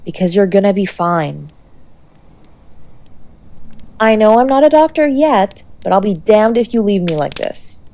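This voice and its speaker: Woman acting a gravelly, tough-tender young girlfriend. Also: mid-range voice, sing-song, warm tone. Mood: worried, teasing. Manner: blunt, gruffly affectionate, halting when emotional. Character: tough-tender, sharp-tongued young woman fiercely protecting their injured lover. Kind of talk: intimate scripted audio roleplay, acted.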